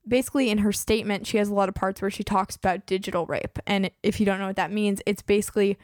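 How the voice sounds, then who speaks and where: A woman's voice: medium pitch, fairly even intonation, fast, a little mellow, no echo. Female host, 20s, chatty and casual, voice fraying, dry room. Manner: chatty, peppers speech with "like". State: losing her voice. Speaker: podcast host. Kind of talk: casual true-crime podcast chat.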